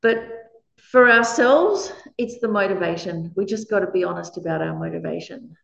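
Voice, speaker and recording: A loud-voiced woman teaching Buddhist ethics, frank and quick to laugh between her points. She talks to a gathered audience in a roomy space, and the recording sounds a little dull and muffled. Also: on the low side, animated, creaky. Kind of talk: dharma talk answering questions.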